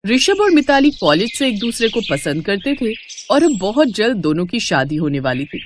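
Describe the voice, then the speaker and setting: A woman narrating a moral story in a measured, even storytelling voice. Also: medium pitch, slight background noise.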